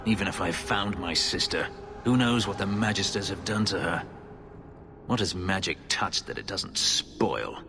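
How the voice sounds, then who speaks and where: Low, brooding, bitter elf warrior. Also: male voice, normal speed, creaky, bright, forceful tone, slight background noise, heavily acted. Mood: angry, bitter. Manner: terse, intense, embittered. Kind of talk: dramatic video game acting. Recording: reverberant game audio with music.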